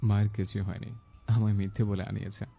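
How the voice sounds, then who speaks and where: A man's voice: lowish voice, level tone, fast talker, gravelly, warm tone, faint music behind, pitched below the performer's own voice. An actor voicing a male schoolteacher talking tersely to his sweetheart in an audio romance story. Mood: teasing, curt. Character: male schoolteacher, the girl's curt, caring sweetheart.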